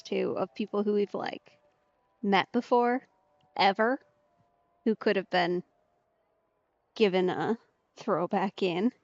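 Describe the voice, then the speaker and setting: A woman's voice: a little high, dry recording, dull audio. A woman commentating casually on a game as she plays, chatty and reactive. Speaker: gaming host. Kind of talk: let's play commentary.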